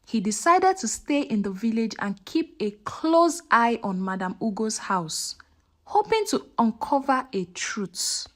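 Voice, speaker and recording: A woman narrates a dramatic folktale as a storyteller. Her voice sits fairly high and swings widely in melody, giving the scripted narration a very animated delivery. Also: a little slow.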